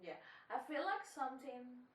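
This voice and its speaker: A woman's voice: high-pitched, very animated, normal speed, fairly bright tone, far from the mic, slight background noise. A woman from Colombia whose first language is Spanish, co-hosting a podcast in candid casual chat with a Colombian accent. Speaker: podcast co-host. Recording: roomy sound from off mic.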